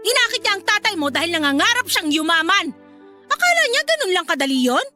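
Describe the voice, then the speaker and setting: A woman's voice: extremely high pitch, sing-song, fast talker, bright, forceful tone, faint music behind, heavily acted. An actress playing a bitter, scornful middle-aged wife and mother in a radio drama, taunting and melodramatic. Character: bitter, scornful wife and mother, cruel to husband's illegitimate daughter.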